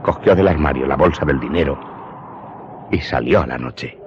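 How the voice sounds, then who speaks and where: A man's voice: extremely low pitch, a little hiss or hum. An actor playing a haunted, humble Corsican smuggler turned servant who confesses his story in a radio drama. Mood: grave, haunted. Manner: deferential, haunted, deliberate storytelling. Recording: muffled audio under prominent music.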